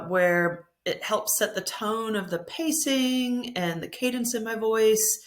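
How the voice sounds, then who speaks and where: A woman's voice: on the low side, a bit bright. A woman speaking as a teacher, giving a conversational, encouraging tutorial presentation. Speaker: teacher presenting a reading app.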